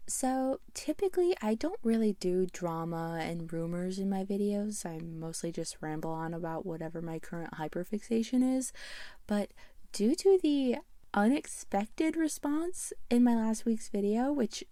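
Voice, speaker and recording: A woman with a mellow, relaxed voice talks through a video essay in an informal, chatty way. She sounds self-effacing and conversational, recorded close and very dry with no room around her. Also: medium pitch, no room sound. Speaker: video creator and host.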